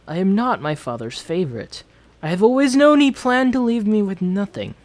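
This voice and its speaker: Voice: mid-range voice, close to an everyday voice. A performer voicing a proud, entitled eldest prince, reading fantasy journal entries in a formal, theatrical manner. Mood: proud, resentful. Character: Prince Johannes, proud, haughty, entitled eldest royal son on a quest. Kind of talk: dramatic fantasy journal narration, fan dub.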